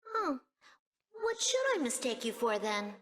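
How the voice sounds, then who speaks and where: A woman's voice: high-pitched, very animated, measured pace, fairly bright tone, big-room sound, exaggerated voice. A woman voicing an earnest, inquisitive young animal sidekick in a video game, asking pointed questions. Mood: suspicious, curious. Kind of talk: video game cutscene acting. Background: music.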